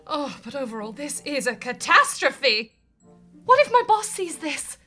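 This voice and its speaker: Woman acting a fretful, bright-voiced office manageress. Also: sits high, unhurried, soft background music, full character voice. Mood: panicked. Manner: theatrical, swings from panic to forced calm. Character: Veronica, fretful melodramatic female office manager, bossy and overwrought. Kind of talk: scripted audio comedy drama.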